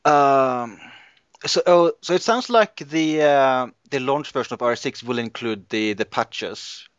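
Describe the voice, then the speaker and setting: A man hosting a casual gaming podcast, talking in halting bursts with frequent restarts. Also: mid-range voice, clean tone, no room sound, highs cut off. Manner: hesitant, lots of restarts and fillers. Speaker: podcast host.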